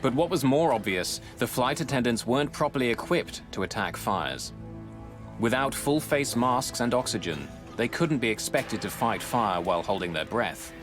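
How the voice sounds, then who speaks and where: A man giving scripted, dramatic documentary narration in a measured, grave way, close on a studio mic. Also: mid-range voice, animated. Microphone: close studio voice-over mic. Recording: music bed under narration.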